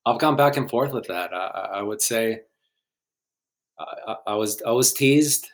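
A young man from Guatemala, a filmmaker guest on a podcast, talking in a halting, hesitant way with many restarts. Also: lowish voice. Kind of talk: casual podcast interview.

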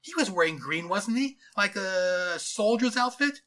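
Town constable, voiced by a narrator, questioning and brisk, bright lively storybook delivery. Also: mid-range voice, pitched above the performer's own voice. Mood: puzzled. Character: town policeman, puzzled then businesslike, adult man. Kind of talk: dramatized storybook character voice.

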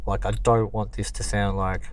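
A man's voice: lowish voice, flat delivery, normal speed, a little hiss or hum. A man, a singer-songwriter, talking casually and rambling with many fillers and restarts. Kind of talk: casual conversation.

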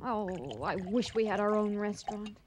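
A woman's voice: mid-range voice, normal speed, darkish tone, slight background noise, lightly acted. An actress playing a loving but nagging, ambitious woman cook in a radio comedy-drama. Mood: wistful. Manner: earnest, fretting.

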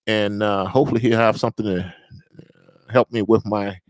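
A man hosting a casual podcast interview, speaking haltingly with many fillers. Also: lowish voice, steady tone, dry recording. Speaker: podcast host.

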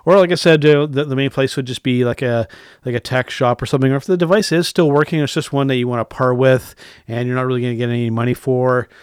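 A man's voice: medium pitch, fairly even intonation, fast talker, clean tone, no echo. A man in his late thirties hosting a casual solo tech podcast, rambling with restarts and filler phrases, blunt and willing to swear. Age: 30s. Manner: rambling, frequent restarts and "and whatnot"; blunt, swears when irritated. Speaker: podcast host.

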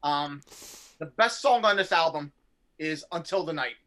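A man's voice: fairly high pitch, lively intonation, moderate pace. A man co-hosting a podcast in casual chat, eager and enthusiastic as he talks about songs he loves. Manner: eager, enthusiastic music fan. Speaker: podcast co-host.

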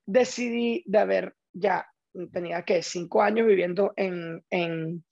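A man's voice: sits high, fairly even intonation, unhurried, creaky, darkish tone, dry recording, lo-fi sound. A man from Caracas with a Venezuelan accent, telling his story casually and emphatically as an interview guest. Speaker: interview guest, stage actor.

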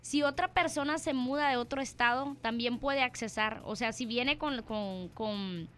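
Mexican lady hosting, chatty, lots of "ujú", bright voice. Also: fairly high pitch, normal speed. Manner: chatty, frequent affirming fillers. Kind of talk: casual radio chat.

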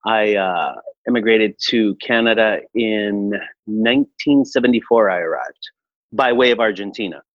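A man in his forties from Chile, a restaurateur answering interview questions in a relaxed, reflective way with frequent fillers. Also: on the low side, moderate pace, no echo, highs cut off. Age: 40s. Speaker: restaurateur guest.

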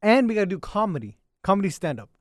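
Very high-pitched, animated male podcast host. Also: fast, no room sound, studio quality. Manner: teasing, coaxing, repeatedly addresses co-host. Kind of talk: casual chat, banter.

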